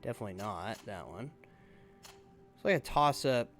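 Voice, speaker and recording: A man giving casual, halting gameplay commentary as a YouTuber, thinking aloud as he goes. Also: fairly low pitch, lively intonation, fairly bright tone, faint music behind. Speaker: gaming YouTuber.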